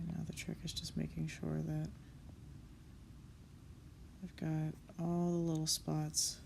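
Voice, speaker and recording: A woman's voice: low-pitched, vocal fry, darkish tone, a little hiss or hum. A young woman artist chatting casually while she draws, self-critical and swearing under her breath, sniffling from a cold. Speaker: comic artist. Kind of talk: casual narration over a drawing session.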